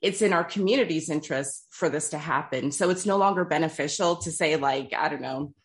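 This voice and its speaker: A woman hosting a casual interview, lively and quick to interject with questions. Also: low voice. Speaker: podcast host.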